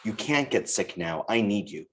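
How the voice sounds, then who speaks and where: A man with a deep, low-pitched voice reads aloud from a written testimony. The delivery is that of someone reciting another person's first-person account. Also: lively intonation, normal speed, a bit bright.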